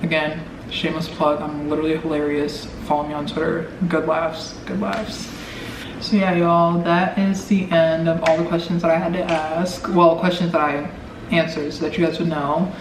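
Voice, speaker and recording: A man's voice: fairly high pitch, level tone, moderate pace. An eighteen-year-old man with an American accent, chatting casually and ramblingly to camera with lots of filler words. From Omaha, Nebraska, United States. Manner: informal, rambling, heavy use of "like". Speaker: vlogger. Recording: echoey noisy room, distant mic.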